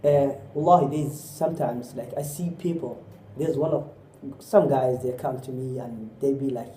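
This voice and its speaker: Dark-voiced man preaching. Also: medium pitch, normal speed, a little hiss or hum. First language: Somali. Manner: exhorting with repeated rhetorical questions; often drops in Arabic phrases. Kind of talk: sermon. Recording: roomy echo.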